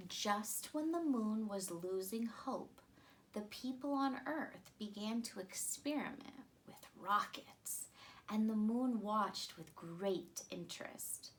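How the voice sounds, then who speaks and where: A woman in her thirties reading a children's picture book aloud with deliberate, expressive phrasing. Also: mid-range voice, poor audio. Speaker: storytime reader.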